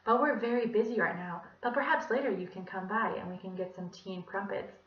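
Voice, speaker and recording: A woman voicing a falsely pleasant Scottish gentleman, polite and genteel, in a children's story. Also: mid-range voice, fairly quick, echoey room, distant mic, highs cut off, natural voice. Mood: politely evasive. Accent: put-on Scottish. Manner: polite, smooth-talking, genteel.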